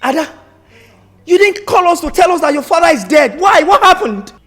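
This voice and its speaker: An outraged uncle with a Nigerian accent, hurling accusations at the family. His voice sits raised in pitch. The room around him rings with heavy echo. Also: expressive, moderate pace, a bit bright, distant mic. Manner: confrontational and accusing. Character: outraged uncle demanding answers. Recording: very echoey room, faint music.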